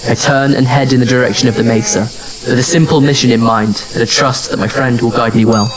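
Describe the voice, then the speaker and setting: A man's voice: medium pitch, steady tone, a little fast, a loud crowd, natural voice, low-quality recording. A man acting as a haunted immortal who narrates his own tale in an audio drama. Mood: somber. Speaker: narrator and lead actor.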